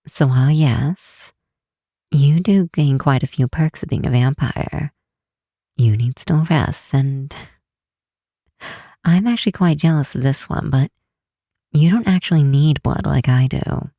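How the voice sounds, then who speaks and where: An affectionate, bookish vampire researcher, played by a woman for an ASMR roleplay. The voice is mellow and very close and dry, with no room sound. The delivery is slow, tender and lightly teasing, with thoughtful hesitations. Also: a bass voice, dry recording, full character voice. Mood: gentle, wistful. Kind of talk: ASMR roleplay, one side of a late-night phone call.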